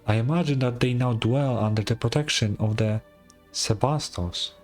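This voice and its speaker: Grandiose zealot bell ringer done by a man, mid-pitched, preaching, archaic, pompous, faint music behind. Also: normal speed, darkish tone, close to an everyday voice. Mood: reverent. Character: pompous zealous male bell ringer, dreamy cult devotee. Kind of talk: game dialogue read in character.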